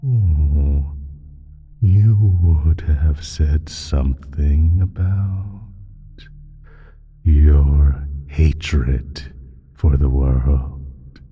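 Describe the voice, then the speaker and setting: A man playing an ancient, evil primordial being in a slow audio roleplay, speaking deliberately in a smug, menacing, condescending way with a husky, breathy voice. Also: rumbling low, dull audio, faint music behind, heavily acted. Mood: gloating, menacing.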